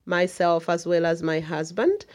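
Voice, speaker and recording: A middle-aged woman with a lowish voice, talking in a radio interview. She speaks earnestly and with heartfelt emphasis. She leans into her key points. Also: a little slow, darkish tone. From Uganda. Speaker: community association chair.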